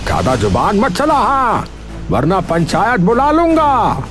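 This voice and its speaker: Cartoon village chief, male actor, cranky and scolding, slow animated delivery, exclamatory. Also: high-pitched, full character voice. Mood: annoyed, threatening. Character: grumpy, blustering village headman. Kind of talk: cartoon voice acting. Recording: music behind the voice. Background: music.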